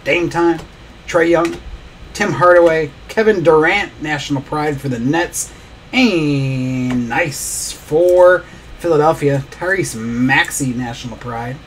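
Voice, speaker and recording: A man's voice: fairly high pitch, slow pace, slight background noise. Man calling out cards in a break. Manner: rattles off player names while opening packs. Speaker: card break host. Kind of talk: live card break commentary. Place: small indoor studio desk.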